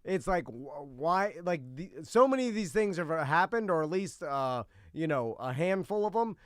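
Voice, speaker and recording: Clear, higher-voiced man chatting in halting rambles. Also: lively intonation, no echo, clean studio sound. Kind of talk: casual chat.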